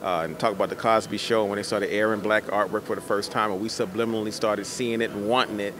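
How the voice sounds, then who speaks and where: A man's voice: fairly low pitch, steady tone, quick pace. A middle-aged man, an artist, answering interview questions conversationally into a handheld microphone. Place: indoor art expo in a convention center. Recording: busy exhibition hall crowd behind. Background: crowd noise.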